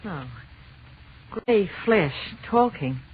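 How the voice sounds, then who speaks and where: An actress playing a terrified, pleading wife in old-time radio horror, speaking in fearful short exclamations. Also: female voice, mid-range voice, lively intonation, extremely slow. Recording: narrow-band old radio broadcast with hiss.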